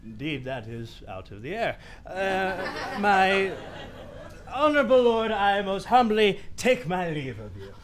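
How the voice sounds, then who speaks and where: An actor playing a fussy, pompous, long-winded old royal counsellor in a theatrical Shakespearean radio play. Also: male voice, sits high, sing-song, slow, slight background noise, heavily acted. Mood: fussy, deferential.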